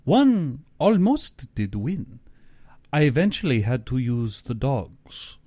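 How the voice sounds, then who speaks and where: Guy doing a posh-mannered evil general for an audiobook, super courteous, pompous, high lilting pitch, takes his time. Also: big pitch swings, slow, mellow, no room sound. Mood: proud, sinister. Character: genteel, menacing aristocratic general who hunts men.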